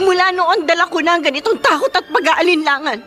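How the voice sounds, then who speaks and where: A woman's voice: very high voice, quick pace, bright, forceful tone, soft background music. A woman with a Filipino accent playing an anguished, fed-up grown daughter in an emotional, melodramatic radio drama. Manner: emotional, melodramatic, tearful outbursts.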